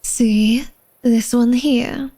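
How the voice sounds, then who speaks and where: A woman's voice: on the high side, fairly even intonation, very slow, warm tone. A woman playing an elegant, secretive vampire lady of a manor in a flirtatious ASMR roleplay, speaking formally and coyly to the listener. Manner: formal, genteel, coy, teasing speech addressed to the listener.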